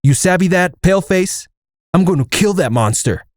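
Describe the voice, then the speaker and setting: A man playing a stoic, fatalistic Navajo army air force lieutenant in an audio comic, solemn and measured. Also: sits high, expressive, darkish tone, no echo, clean studio sound. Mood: determined, grim. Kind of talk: dramatic first-person narration and dialogue in an audio comic.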